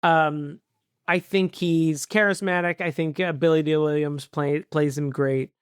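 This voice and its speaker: A podcast co-host in a casual movie chat, talking in an animated way with jokey asides and exclamations. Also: medium pitch, a little slow, clean tone, dry recording, clean studio sound.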